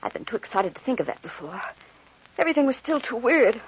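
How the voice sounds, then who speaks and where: An actress playing an anxious, determined young bride in an old radio horror play, with urgent, melodramatic delivery. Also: female voice, on the high side, big pitch swings, quick pace, husky, exaggerated voice. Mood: haunted, tense. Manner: breathless, melodramatic. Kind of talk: old-time radio horror drama with narration. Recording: muffled vintage radio recording.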